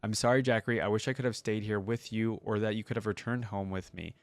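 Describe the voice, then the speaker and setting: A man reading the part of an elderly woman in a story, tender and regretful, in a soft, earnest delivery. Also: fairly low pitch, steady tone, fast, no room sound, natural voice. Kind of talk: story read-aloud.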